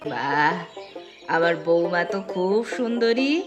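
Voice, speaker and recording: A woman acts out the middle-aged matriarch of a landlord's house in a cartoon tale. Her voice sits a little low for a woman. Clear background music runs beneath her lines. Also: lively intonation, slow pace, lo-fi sound. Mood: delighted, welcoming. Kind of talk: animated moral-story voice acting.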